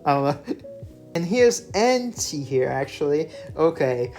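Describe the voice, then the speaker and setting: A man's voice: a little high, measured pace. Man, french is his first language, game commentary, lots of uhs, faint music behind. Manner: relaxed, filler-heavy, self-correcting. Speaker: gaming channel host. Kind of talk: gameplay commentary voice-over. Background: faint background music.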